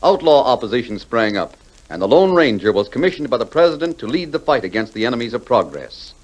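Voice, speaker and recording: A man's voice: medium pitch, very animated, fast talker, low-quality recording. A man narrating a 1940s radio western as announcer, in a dramatic, declamatory way. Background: music.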